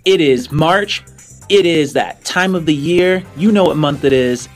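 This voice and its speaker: A man's voice: a little high, measured pace, faint music behind. A man hosting a tabletop game show, talking casually and improvising descriptive narration in fragments as game master.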